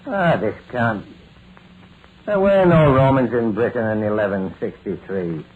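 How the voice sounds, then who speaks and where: An actor playing a bewildered, excitable soldier who stammers in disbelief, in old radio drama style. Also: male voice, medium pitch, measured pace, husky. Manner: stammering, incredulous. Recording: tinny, noisy vintage radio recording.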